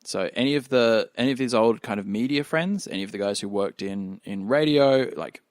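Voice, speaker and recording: A man's voice: lowish voice, a little fast, dry recording, clean studio sound. A man casually narrating what his character does as a player in a tabletop roleplay podcast. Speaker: player in an actual play podcast.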